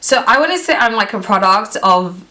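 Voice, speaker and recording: A woman in her twenties, raised in Nigeria, chatting casually to camera about herself, full of "like" and "you know", often trailing off quietly. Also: medium pitch, animated, moderate pace. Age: 20s. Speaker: beauty vlogger.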